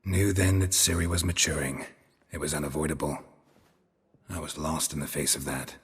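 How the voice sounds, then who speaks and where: A stoic game monster hunter with an extremely low, heavily rasping voice. He is weary and guarded, speaking in short clipped sentences with little display of feeling. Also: level tone, moderate pace, exaggerated voice. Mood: somber, reflective. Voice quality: gravelly, husky. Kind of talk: restrained video game dialogue.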